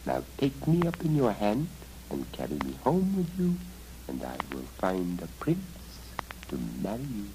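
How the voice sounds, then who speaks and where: A man voicing a kindly little mouse in a fairy tale, with a squeaky, fond voice. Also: wildly swooping pitch, a little slow, noisy background.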